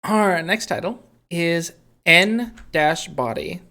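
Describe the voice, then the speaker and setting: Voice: mid-range voice, animated, slow, sharp tone. A podcast co-host, chatting casually about video games and explaining things in a hesitant, thoughtful way. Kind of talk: casual video game discussion.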